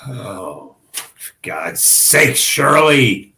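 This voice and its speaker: A man's voice: mid-range voice, very animated, drawn-out words, creaky, sharp tone, full character voice. A man playing a grumpy, indifferent husband who is dry, curt and sarcastic, in a staged play reading. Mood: weary, exasperated.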